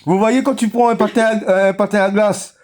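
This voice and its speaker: A man chatting casually at home, telling a story in a halting, hesitant way. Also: high voice, steady tone, a little mellow. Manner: hesitant, halting, many fillers. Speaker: vlogger.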